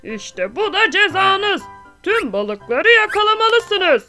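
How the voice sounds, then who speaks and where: Cartoon wolf: very high, slow and deliberate, bossy, theatrical. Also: female voice, sing-song, sharp tone, faint music behind, heavily acted. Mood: stern. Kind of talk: dubbed children's cartoon.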